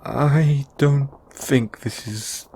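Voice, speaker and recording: Man acting as a halting, flustered middle-aged dad. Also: medium pitch, dark tone, a little hiss or hum. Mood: flustered, uneasy. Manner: stammering, halting, nervous. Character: flustered, kindly middle-aged father, awkward and hesitant. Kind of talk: audio roleplay acting.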